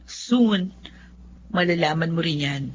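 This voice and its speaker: A woman's voice: low-pitched, lively intonation, slow, lo-fi sound, a little hiss or hum. An actress playing a kindly, encouraging Filipino woman attorney in a radio drama.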